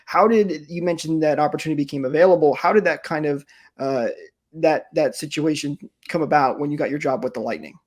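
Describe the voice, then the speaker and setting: Higher-voiced young man co-hosting. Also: a little fast. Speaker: podcast co-host, minor league baseball broadcaster. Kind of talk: conversational interviewing.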